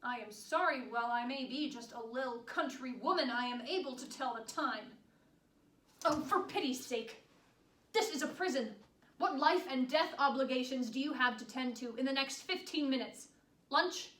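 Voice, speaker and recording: A woman's voice: a little high, unhurried, a bit bright. A woman performing a theatrical period monologue as a devoted, proud country wife, with formal old-fashioned diction. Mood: indignant, furious. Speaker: stage actress performing monologues. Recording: echoey room, distant mic, background noise.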